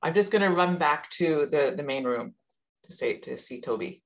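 Low-voiced woman, casual anecdote. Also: expressive, moderate pace. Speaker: presenter, educational technology consultant. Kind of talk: casual anecdote in group discussion. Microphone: video call.

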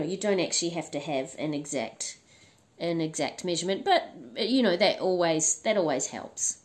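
A woman with a husky, flu-worn voice talks through a crochet tutorial in a relaxed, chatty way. She restarts her sentences and fills pauses with ums as she explains each step. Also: medium pitch. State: hoarse, recovering from flu. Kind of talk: casual instructional talk.

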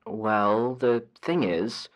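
Man acting as earnest advice-show caller, lowish male voice, mild, hesitant, natural audio drama delivery. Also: extremely slow.

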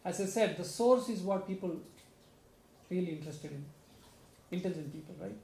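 A young man sums up a devotional group meeting at home, explaining earnestly and often asking "right?" into a stand mic. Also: brisk. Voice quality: soft low speaking voice. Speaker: speaker summing up a satsang. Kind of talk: devotional talk to a small group. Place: home room with shrine, indoors. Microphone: stand mic in front, filmed by far camera. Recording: roomy echo with clear background noise.

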